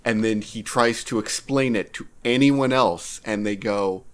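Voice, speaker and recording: A man's voice: on the low side, a little slow, a bit bright. A man chatting casually as a podcast co-host, giving wry, sardonic commentary. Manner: sardonic, joking.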